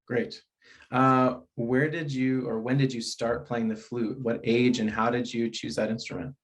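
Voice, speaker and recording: A man's voice: mid-range voice, flat delivery, a little slow. A man hosting an interview as the resident flutist, opening casually and asking short questions. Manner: friendly, brief prompts.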